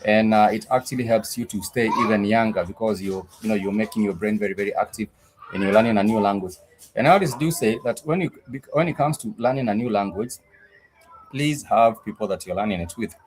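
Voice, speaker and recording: A man's voice: on the low side, fairly quick, poor audio. A man teaching a live online language class, patiently repeating each phrase several times.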